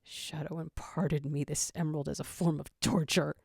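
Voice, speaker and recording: A frail young jackal played by a woman from a dramatic fan story, worn out and bitter. The voice is low for a woman, with a creaky edge, and carries a gloomy defiance. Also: little pitch movement, moderate pace, a little mellow, dry recording, clean studio sound, exaggerated voice. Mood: bitter, despairing.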